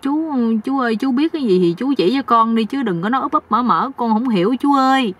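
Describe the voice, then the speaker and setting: A woman's voice: on the high side, animated, a little fast, a bit bright, slightly rough, voice pushed up. A woman voicing a gloomy, naive young husband who complains and pleads respectfully in a comic story. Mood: confused pleading.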